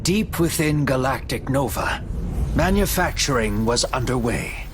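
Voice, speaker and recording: A man giving scripted, cinematic storybook narration in a solemn, measured storyteller's delivery. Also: mid-range voice, animated, slight background noise. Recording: music behind the voice. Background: music.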